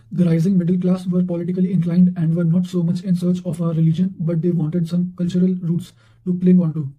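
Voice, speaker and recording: Male reader for revision notes: flat intonation, high register, occasional self-corrections. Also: low-quality recording. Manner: reads with stumbles and self-corrections. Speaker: narrator reading quotations for exam revision. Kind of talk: read-aloud quotations, study revision.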